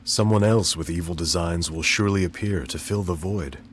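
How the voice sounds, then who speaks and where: An actor playing a reflective young pianist in a video game cutscene, speaking in a thoughtful, soft-spoken way. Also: male voice, low voice, normal speed, a little hiss or hum, natural voice.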